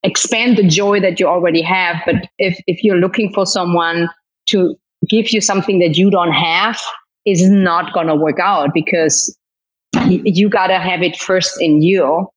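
A woman's voice: on the low side, steady tone, moderate pace, slightly rough, low-quality recording. A woman with a German accent, talking openly and reflectively as a guest on a podcast, with many restarts and fillers. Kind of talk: podcast conversation.

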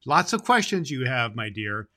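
Jolly old Santa Claus, played by a man, bright and forceful, folksy children's show host, affectionate with young listeners. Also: medium pitch, sing-song, moderate pace. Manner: affectionate, folksy, addresses children, stumbles over words.

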